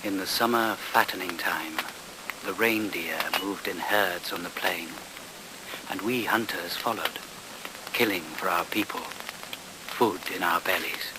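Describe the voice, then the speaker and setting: A man's voice: deep voice, a little slow. An actor, a man, playing a solemn hunter-shaman in a radio play, with a reverent, declamatory, incantatory delivery. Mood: brooding. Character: solemn male shaman of a reindeer-hunting people. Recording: noisy old radio play recording.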